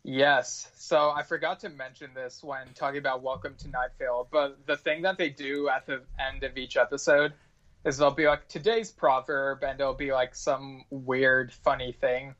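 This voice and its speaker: A man's voice: mid-range voice, flat delivery, normal speed, fairly bright tone. A man co-hosting a podcast as a guest, explaining things in casual, hesitant chat full of fillers. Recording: slightly dull, lo-fi audio.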